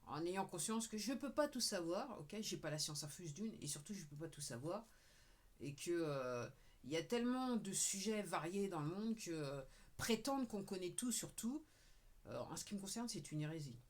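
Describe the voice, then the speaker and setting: A woman's voice: fairly low pitch, sing-song, normal speed. A woman talking casually to her viewers, rambling with frequent "ok"s and now and then dropping to a murmur. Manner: rambling, repeats "ok", sometimes drops to a murmur; addresses viewers directly. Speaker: video creator. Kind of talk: casual talking-head monologue.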